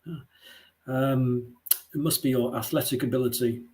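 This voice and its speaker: A man's voice: medium pitch, fairly even intonation, normal speed, darkish tone, rough recording. A man competing in a pun contest, amused and chuckling, with hesitant fillers, over a video call. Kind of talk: quick-fire pun banter.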